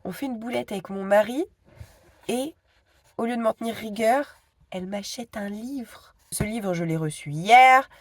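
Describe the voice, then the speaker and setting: A woman's voice: fairly high pitch, a little slow, dry recording. A woman in her thirties with a cold, chatting casually to her phone camera at home, hesitant and full of asides. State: stuffy with a cold. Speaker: vlogger.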